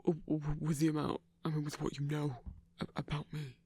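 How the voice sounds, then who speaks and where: Man acting as a breathy, halting, flustered monster hunter. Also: a little high, flat delivery, dark tone. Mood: stunned, nervous. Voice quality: breathy, husky near-whisper. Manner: halting, stammering, hesitant. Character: flustered, guilt-ridden male werewolf hunter, awkward, shy. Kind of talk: intimate ASMR audio roleplay.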